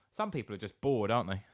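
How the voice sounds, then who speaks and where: A British man co-hosting a podcast, tossing in casual, jokey, sweary reactions. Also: fairly low pitch. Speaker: podcast co-host. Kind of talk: casual banter, short reactions.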